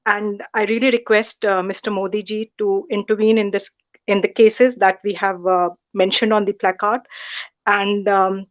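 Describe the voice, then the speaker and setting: A woman giving a measured, earnest statement at an online protest over a video call. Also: medium pitch, little pitch movement, muffled recording. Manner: earnest, measured, some ums.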